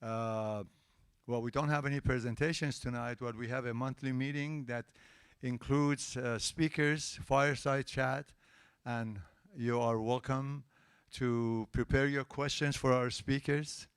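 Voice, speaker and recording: A man hosting a live tech meetup, politely but firmly asking the audience for quiet and introducing his guest before interviewing her. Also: medium pitch, steady tone, measured pace, smooth, no room sound. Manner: polite but firm, asks the crowd for quiet. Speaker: event host and interviewer. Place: event hall with a live audience.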